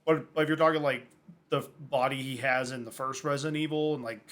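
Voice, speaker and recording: A man's voice: mid-range voice, steady tone, brisk, a bit bright. A man co-hosting a casual film podcast, rambling a bit and filling his talk with "like" as he chats about casting. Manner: rambling, many filler likes. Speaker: podcast co-host. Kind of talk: casual chat about films and games.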